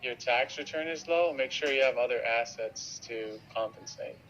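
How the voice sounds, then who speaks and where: A man's voice: mid-range voice, moderate pace, fairly bright tone. A man chatting casually as a co-host and giving practical advice to viewers. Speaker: vlogger and co-host. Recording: dull lo-fi sound, faint noise.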